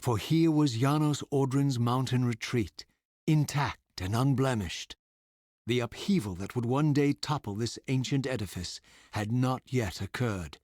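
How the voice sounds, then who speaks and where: An actor playing a tormented vampire wraith in a video game, narrating solemnly with grave, formal, theatrical diction. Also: male voice, mid-range voice, a little slow, darkish tone, no echo, clean studio sound, close to an everyday voice. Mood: brooding, solemn. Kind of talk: dramatic scripted game narration.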